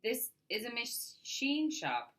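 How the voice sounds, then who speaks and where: A woman's voice: a little high, sing-song, slow pace, a bit bright, pitched above the performer's own voice, heavily acted. A woman voicing a confident, curious young girl inventor in a children's storybook.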